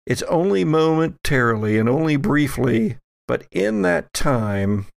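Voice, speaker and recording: A man acting as game master, narrating a tabletop roleplaying game in a halting, deliberate, improvised way. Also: on the high side, very animated, gravelly, dry recording, clean studio sound.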